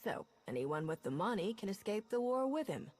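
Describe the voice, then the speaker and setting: A cocky smuggler captain. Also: female voice, mid-range voice, big pitch swings, normal speed, darkish tone. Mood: wry. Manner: wry, self-assured banter. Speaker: player character.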